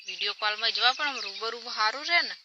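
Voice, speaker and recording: A woman's voice: fairly high pitch, quick pace. Gujarati woman on a very noisy phone call. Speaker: caller. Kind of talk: casual flirtatious phone chat.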